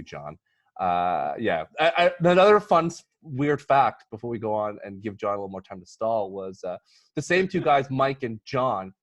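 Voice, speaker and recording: A man in his thirties hosting a casual movie podcast, talking in a halting, bantering way and teasing the others. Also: lowish voice, dry recording. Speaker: podcast host.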